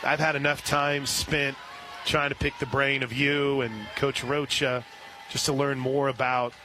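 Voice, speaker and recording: A man calling live softball play-by-play as a radio announcer, reading sponsor lines between pitches. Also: little pitch movement, unhurried, over music, poor audio. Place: outdoor ballpark during a live game. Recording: loud outdoor stadium ambience. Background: loud stadium ambience.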